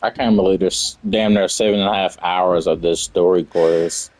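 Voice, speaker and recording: A man's voice: low-pitched, fairly even intonation, moderate pace, a little mellow. A man giving casual, blunt and sarcastic reaction commentary as he streams a game. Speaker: gaming streamer.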